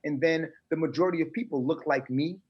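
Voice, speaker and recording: A middle-aged American man, a guest on a casual podcast interview, talking in an animated, emphatic, streetwise way. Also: on the high side, quick pace, breathy edge, lo-fi sound. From United States.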